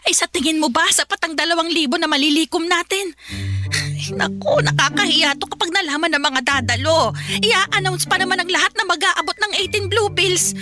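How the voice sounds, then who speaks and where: An actress playing an irritable, money-minded Filipino mother in a radio drama, complaining with a sharp tongue and a Filipino accent. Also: very high-pitched, brisk, over music. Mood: indignant, griping.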